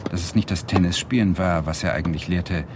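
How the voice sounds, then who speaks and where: Thoughtful lecturer-narrator for radio drama; very low voice over clearly audible background noise. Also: male voice, brisk. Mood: reflective. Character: reflective male Swedish lecturer telling his story. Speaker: narrator and lead character, a lecturer.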